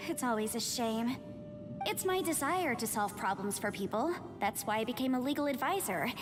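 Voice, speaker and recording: A woman acts out an earnest young legal advisor from a video game. Her high voice is thoughtful and animated. Music plays clearly behind her. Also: moderate pace, close to an everyday voice. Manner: thoughtful, articulate.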